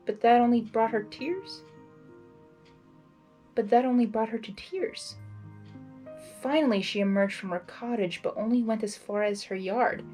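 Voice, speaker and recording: A woman reading a story aloud as a host, with an excitable, gushing, expressive delivery. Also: a little high. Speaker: fan fiction reader and channel host.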